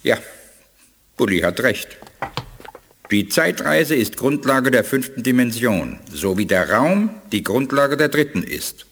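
An actor playing a calm, wise alien scientist who speaks deliberately in a radio play. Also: male voice, medium pitch, in a large hall, a little hiss or hum. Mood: explaining. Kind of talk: 1980s radio play acting.

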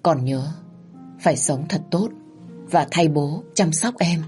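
A woman's voice: low-pitched, expressive, slow pace, darkish tone, faint music behind. Woman reading as a grim, resigned father. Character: despairing middle-aged father, grim and resigned.

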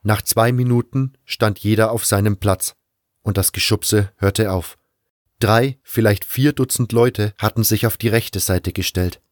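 A man's voice: on the low side, fairly even intonation, measured pace, dry recording, studio quality. A man reading scripted first-person narration for an audio drama.

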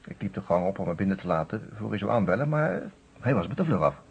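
Very low-voiced reflective narrator. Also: male voice, sing-song, quick pace, vocal fry. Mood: reflective, subdued. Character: reflective male lawyer recounting events, reserved, educated. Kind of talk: radio play first-person narration. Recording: muffled, noisy old radio recording.

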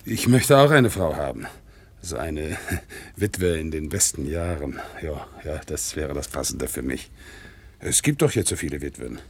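An actor playing a proud, dutiful one-legged war veteran who works as an organ grinder, speaking in a solemn, deferential way in a radio play. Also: male voice, rumbling low, animated, measured pace, breathy edge, full character voice. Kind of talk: 1960s radio play acting. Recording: faint music behind, older radio play recording.

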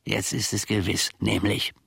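An actor playing a grumbling, self-important old manservant in a German radio play, husky and gravelly, telling his grievances in halting, mock-formal phrases. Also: lowish voice, flat delivery, a bit bright, dry recording, heavily acted. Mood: aggrieved, conspiratorial.

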